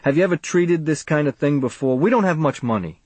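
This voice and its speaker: A man's voice: a little high, lively intonation, a little fast, a little mellow, husky, dry recording, voice pushed up. Man playing meek, worried working husband. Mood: doubtful.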